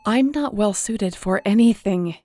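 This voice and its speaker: A woman's voice: mid-range voice, unhurried, mellow, dry recording, faint music behind, lightly acted. A woman acting in an audio roleplay as a weary, guilt-ridden shifter investigator, speaking in a somber, conversational, confiding way. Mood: anguished, self-blaming.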